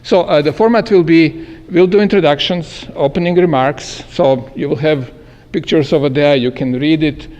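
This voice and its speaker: A man moderating a panel at a live event, speaking haltingly with many filler sounds. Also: a little high, a little mellow. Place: meeting room at an event. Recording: echoey hall with long reverb.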